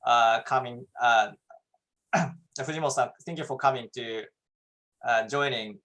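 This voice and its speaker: A man with a Japanese accent, co-hosting a casual chat over drinks in halting English, laughing often. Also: mid-range voice, a bit bright. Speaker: co-host.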